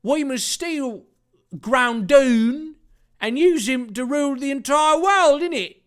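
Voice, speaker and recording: A man voicing a scheming, hateful male villain in a put-on Cockney accent, loud and stumbling over words. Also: very high voice, expressive, takes it slowly, pitched above the performer's own voice, full character voice.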